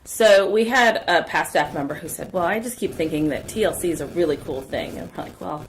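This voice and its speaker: A woman's voice: fairly low pitch, fairly quick, noisy background. A woman co-hosting a casual podcast, chatty and telling a story about her workplace. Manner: chatty storyteller, animated in quotes. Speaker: podcast co-host.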